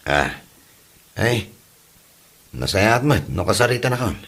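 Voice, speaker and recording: A man's voice: lowish voice, sing-song, unhurried, gravelly, a bit bright, breathy edge, soft background music, heavily acted. A man playing a meek, whiny, henpecked mama's boy husband in a comedy drama, stammering and exclaiming as he goes. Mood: flustered. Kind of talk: Ilocano comedy drama acting.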